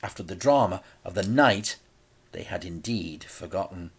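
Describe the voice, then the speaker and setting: A man reading a children's story aloud in a deliberate, dramatic way with suspenseful pauses. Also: low voice, expressive, breathy edge.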